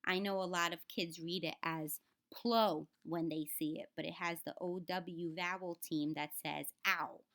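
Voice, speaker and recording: A woman's voice: on the low side, steady tone, measured pace, few pauses, a bit bright. Woman reading aloud to children. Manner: instructive, addresses children directly, sounds out words. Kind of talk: read-aloud to children with explanatory asides.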